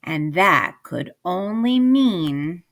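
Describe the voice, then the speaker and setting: Female storybook reader for kids: slow, lively intonation, dramatic emphasis, teacherly questioning. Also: fairly low pitch. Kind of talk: expressive read-aloud to children.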